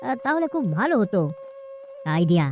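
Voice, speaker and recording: Cartoon village husband, played by a woman: poor, scheming, comfort-loving, exclaiming, very expressive pitch. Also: a little fast, soft background music, natural voice. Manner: colloquial, exclaiming, grumbling. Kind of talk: cartoon story acting.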